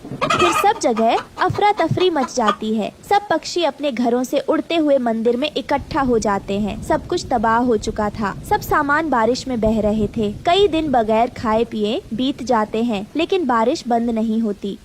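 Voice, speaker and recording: A woman's voice: a little high, lively intonation, a little slow, a little hiss or hum. A woman reading scripted narration for a Hindi cartoon story.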